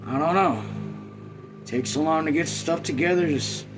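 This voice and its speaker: A man's voice: on the high side, normal speed, music in the background, close to an everyday voice. A man voicing a timid, beaten-down squatter in a novel, hesitant and resigned in folksy speech.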